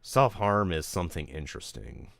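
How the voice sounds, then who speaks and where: Low-voiced man venting raw and profane. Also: sing-song, moderate pace, vocal fry, dry recording. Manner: rambling, profane, emotional. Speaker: streamer. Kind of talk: candid venting on a livestream.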